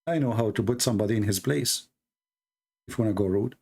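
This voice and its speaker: Blunt Arabic-accented man, gravelly. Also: fairly low pitch, quick pace, mellow. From Middle East. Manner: blunt, mocking, emphatic repetition, broken grammar. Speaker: debate show host. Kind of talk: casual livestream commentary.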